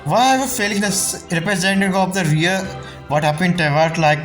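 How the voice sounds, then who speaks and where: A man's voice: high-pitched, brisk, a bit bright. Casual man rambling over game music. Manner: rambling, joking. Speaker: game streamer. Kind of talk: casual playthrough commentary.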